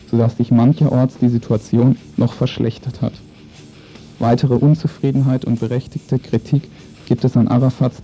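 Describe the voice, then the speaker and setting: Male news commentator, very mellow dark tone, flat and sober scripted read, music underneath. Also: mid-range voice, highs cut off.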